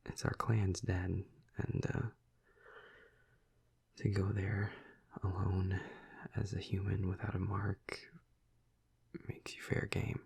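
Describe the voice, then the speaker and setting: A man playing a flirty, protective vampire of high rank in an intimate audio roleplay, speaking softly and hesitantly in a breathy, gravelly half-whisper. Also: completely flat delivery, full character voice. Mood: gentle, explaining. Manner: slow, hesitant, soft, soothing. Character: flirty, protective male vampire, high-ranking in his clan.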